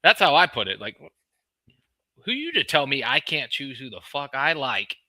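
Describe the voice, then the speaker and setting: A man's voice: medium pitch, big pitch swings, normal speed, pushed and bright, no echo. An American man hosting a casual sports chat in a blunt, profane manner. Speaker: sports show host.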